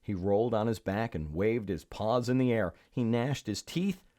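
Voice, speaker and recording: A man's voice: on the low side, moderate pace, warm tone, no echo, clean studio sound. A man reading a children's story aloud, expressively and with theatrical emphasis. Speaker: storybook reader.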